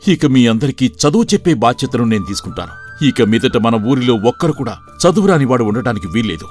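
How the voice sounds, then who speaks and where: A man playing an earnest, resolute young village idealist in a moral story, speaking deliberately and persuasively. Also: mid-range voice, very animated, a little mellow, close to an everyday voice. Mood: earnest resolve. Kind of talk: acted dialogue in a moral story. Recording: music bed under the voice.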